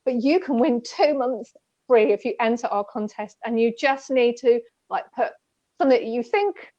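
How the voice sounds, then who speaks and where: Brief: woman, fairly high voice, chatty explainer, dull lo-fi online audio. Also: lively intonation, normal speed, dark tone, no room sound. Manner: chatty, explanatory, many restarts. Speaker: co-host. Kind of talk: casual spiritual chat.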